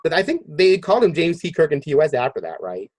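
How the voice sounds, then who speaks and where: A man hosting a casual podcast discussion, halting as he thinks aloud. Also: fairly high pitch, sing-song, darkish tone, dry recording. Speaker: podcast host.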